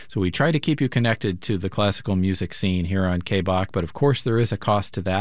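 A male radio co-host making a pledge drive appeal and thanking listeners warmly. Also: deep voice, a little fast, no echo, studio quality.

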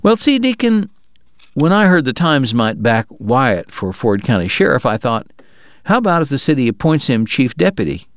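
A man voicing a calculating frontier businessman who pitches his plans in a mild, innocent-sounding way, for an audiobook. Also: sing-song, moderate pace, dry recording, studio quality. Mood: wheedling. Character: scheming small-town businessman, soft-spoken, calculating.

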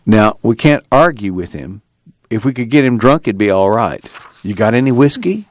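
A man's voice: lowish voice, expressive, normal speed, dry recording, close to an everyday voice. A man voicing a decisive grown farm son in an audiobook, plain-spoken and firm, with folksy rural dialect. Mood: practical, firm.

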